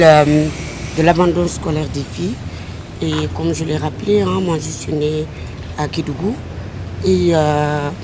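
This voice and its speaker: A woman, a guest answering interview questions in a measured way with frequent fillers. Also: sits low, noisy background. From Senegal. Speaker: association president, guest.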